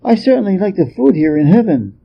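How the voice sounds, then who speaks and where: A man voicing a cheeky, boastful and excitable monkey king in a storybook reading, on a slightly muffled recording. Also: sits high, a little fast, gravelly, husky, pitched above the performer's own voice, exaggerated voice. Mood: excited. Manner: brash and excitable. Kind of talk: storybook character voice.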